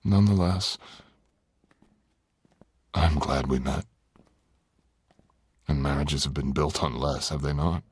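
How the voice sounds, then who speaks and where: Guy doing seductive dragon lord asmr, super low husky voice, slow n brooding, formal wording. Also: dry recording, exaggerated voice. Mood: earnest, tender. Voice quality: very deep, gravelly, husky, often breathy.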